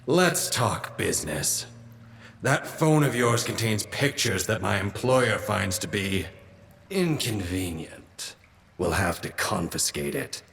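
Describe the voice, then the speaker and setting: A man's voice: lowish voice, animated, takes it slowly, a bit bright, slight background noise, exaggerated voice. A man playing a menacing vampire henchman in an audio drama, with a grating reptilian voice and a mock-courteous, businesslike manner. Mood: menacing, smug. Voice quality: grating, gravelly.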